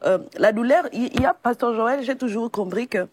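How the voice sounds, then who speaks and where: A young woman from the Democratic Republic of Congo, sharing a personal testimony as a guest in a quiet, halting, pausing way. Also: on the high side, no room sound. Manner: soft, halting, pausing often. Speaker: guest evangelist. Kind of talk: personal testimony in a faith talk show.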